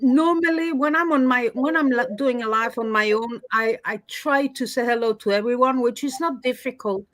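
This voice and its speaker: A Swiss French woman hosting a casual livestream, chatty and quick to laugh. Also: fairly high pitch, a bit bright, no echo. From Switzerland. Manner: chatty, laughs often, with fillers. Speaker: livestream host.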